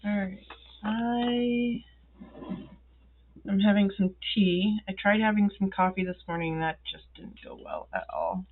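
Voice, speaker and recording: A congested woman talks slowly and rambles through her planner, pausing often with ums. Her voice sits mid-range and sounds stuffy and unwell. The sound is thin and tinny, like a phone line. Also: mid-range voice, lively intonation. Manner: slow, rambling, many ums and pauses. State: congested, feeling ill. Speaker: planner vlogger. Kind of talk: casual talk while filling in a planner. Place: indoors at a wooden desk.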